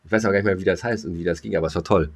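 A man's voice: low voice, rapid-fire. A man co-hosting a podcast in casual, slangy, rambling and jokey banter. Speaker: podcast co-host. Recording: music playing under the voice.

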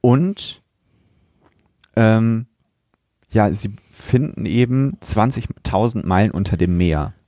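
Low-voiced man chatting on a podcast. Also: slow, long pauses, warm tone, no room sound, studio quality. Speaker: podcast co-host. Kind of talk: casual review chat, retelling a plot.

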